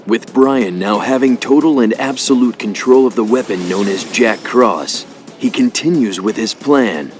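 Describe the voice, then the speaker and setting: A man as a solemn storyteller, giving measured, dramatic scripted narration for video game cutscenes. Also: mid-range voice, faint music behind, close to an everyday voice. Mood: solemn, expository. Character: solemn omniscient male storyteller of dark legends.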